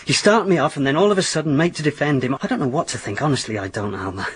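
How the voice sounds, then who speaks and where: An actor playing a jealous, unsure, plain-spoken working-class lad of eighteen in a period radio drama. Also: male voice, on the high side, animated, very fast, breathy edge. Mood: hurt, jealous. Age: young adult.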